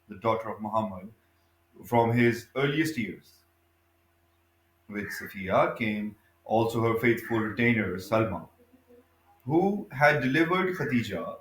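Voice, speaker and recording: A man reading a biography aloud as scripted narration, in a halting way, often stumbling and correcting himself. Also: medium pitch. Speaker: audiobook reader. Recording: echoey, reverberant room.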